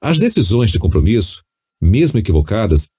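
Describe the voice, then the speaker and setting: Brazilian male audiobook narrator, lowish husky voice, instructive measured delivery. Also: big pitch swings, warm tone. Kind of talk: scripted audiobook narration of nonfiction.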